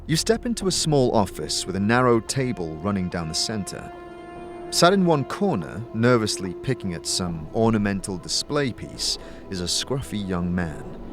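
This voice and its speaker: A man's voice: on the low side, big pitch swings, normal speed. A man narrating a fantasy audio drama in a scripted, storytelling style. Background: music.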